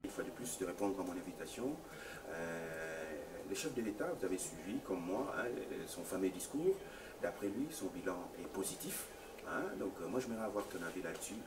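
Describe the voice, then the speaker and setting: Deep-voiced host on a distant, noisy mic. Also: male voice, lively intonation. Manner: halting, hesitant questioning. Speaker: talk show host. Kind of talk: interviewer asking questions.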